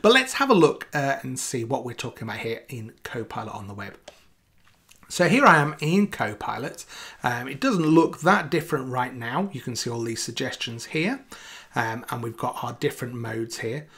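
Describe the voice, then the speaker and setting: Creaky-voiced man presenting a tech demo. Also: mid-range voice, big pitch swings, moderate pace, fairly bright tone. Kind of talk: casual software demo narration.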